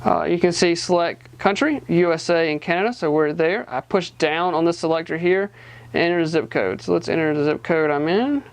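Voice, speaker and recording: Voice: mid-range voice, a little fast. A person giving a casual, hands-on product demonstration, explaining informally step by step. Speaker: gadget reviewer.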